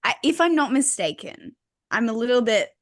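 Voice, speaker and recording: A woman's voice: a little high, big pitch swings, moderate pace, no echo, studio quality. A woman co-hosting a podcast, chatting casually in a rambling way, with lots of filler words and laughter. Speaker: podcast co-host.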